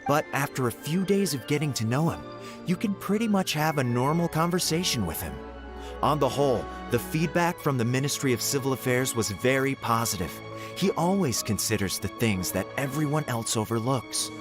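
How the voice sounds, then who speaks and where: A man voicing an earnest young male errand runner in a video game, reporting in a lively way. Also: medium pitch, moderate pace, natural voice. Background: game music.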